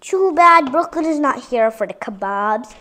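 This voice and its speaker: A female voice giving a chatty tour of a toy dollhouse playset, addressing viewers directly and sometimes dropping into soft whispers. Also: high voice, animated, a little slow. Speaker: toy reviewer.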